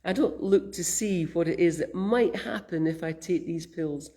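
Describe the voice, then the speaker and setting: A Scottish woman minister speaks in a gentle, conversational way, her mellow voice roughened and croaky from a summer cold. She takes her time, musing aloud like a quiet sermon. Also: lowish voice, moderate pace. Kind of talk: reflective sermon.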